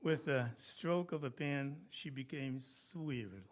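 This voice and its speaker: A man reading his own poems and stories at a live event in a deliberate, measured way. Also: mid-range voice. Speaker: poet reading own work. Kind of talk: live poetry reading with storytelling.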